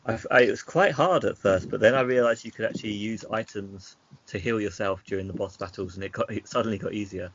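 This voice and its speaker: A man's voice: lowish voice, quick pace, fairly bright tone. A man co-hosting a podcast, chatting casually about games in a hesitant, rambling way. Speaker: podcast co-host. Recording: dull lo-fi sound with faint noise.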